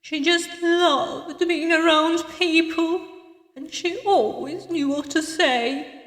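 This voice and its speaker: A woman voicing a tender, grieving old woman in a visual novel, speaking slowly and haltingly with wistful sorrow. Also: very high-pitched, a bit bright, voice pushed up, heavily acted. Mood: grieving, doting. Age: older. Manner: slow, halting, sorrowful delivery. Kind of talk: visual novel character voice acting. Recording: echoey hall reverb, distant sound.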